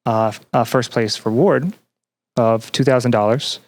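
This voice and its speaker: A young man answering as a podcast guest in an earnest, conversational way, haltingly repeating phrases as he thinks. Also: mid-range voice, fairly even intonation, darkish tone. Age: young adult. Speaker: podcast guest, student and teaching assistant.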